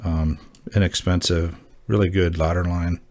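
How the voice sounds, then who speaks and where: A man hosting a hobby gear video, talking casually and off the cuff in a halting, folksy, informal way. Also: deep voice, little pitch movement, darkish tone. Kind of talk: casual show-and-tell talk.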